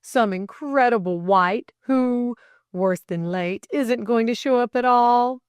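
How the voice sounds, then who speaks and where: A woman playing a pompous, theatrical gentleman. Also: mid-range voice, animated, measured pace, dry recording, clean studio sound, close to an everyday voice. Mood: teasing. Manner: pompous, declamatory, bantering. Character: imperious, teasing, theatrical gentleman master of the house.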